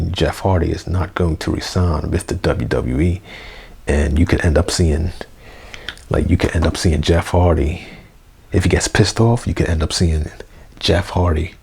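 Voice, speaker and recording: A young man, hoarse, rambling through a casual unedited review vlog with lots of fillers and slang. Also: low-pitched, darkish tone. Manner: rambling, full of fillers like "you know" and "man". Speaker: wrestling review vlogger. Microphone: basic mic, plans a new one.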